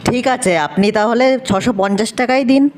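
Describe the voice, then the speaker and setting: A man's voice: very high voice, moderate pace, a little mellow, a little hiss or hum. A man playing a polite, neighbourly village market and tea-stall vendor in a cartoon, deferential and cautioning. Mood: obliging. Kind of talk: cartoon dialogue acting.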